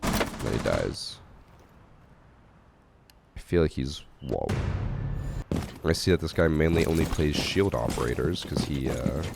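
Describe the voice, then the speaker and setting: A hoarse man with a cough, casually analyzing gameplay clips as a gaming channel host. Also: very deep voice, lively intonation, a little slow, pauses often, background noise. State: hoarse from a cough, losing his voice. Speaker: gaming channel host reviewing submitted matches. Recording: game gunfire behind the voice.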